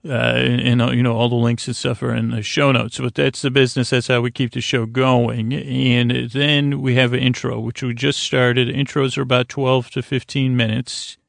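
A man with a creaky voice hosting a bedtime podcast, talking in a soothing, meandering way with halting, repeated phrases that trail off. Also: medium pitch, flat delivery, no room sound. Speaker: podcast host.

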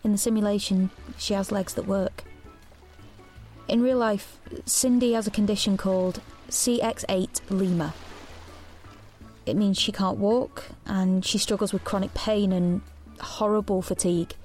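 A woman's voice: medium pitch, fairly even intonation, a little slow, a little mellow, lightly acted. A woman acting as an anxious young woman in a dystopian audio drama. She narrates and speaks intensely, confiding in the listener. Music plays behind her. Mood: matter-of-fact.

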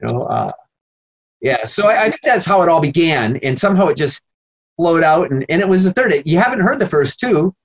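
A man's voice: a little high, very animated, fairly quick, dull audio. An American man, a priest being interviewed, talking emphatically and indignantly with rambling asides. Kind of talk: animated conversational interview answers.

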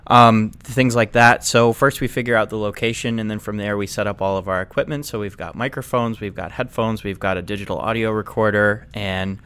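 A man's voice: fairly low pitch, steady tone, a little fast, few pauses, a bit bright, clean tone. A man who produces and edits a workplace podcast, explaining things earnestly step by step with many fillers. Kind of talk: casual podcast chat.

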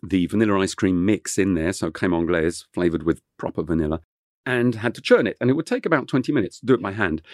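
Man, very low voice, dry close sound, middle-aged, rambling with fillers, first language French. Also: dry recording. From England (Kent). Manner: rambling, many fillers and restarts. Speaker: chef guest. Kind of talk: relaxed interview storytelling.

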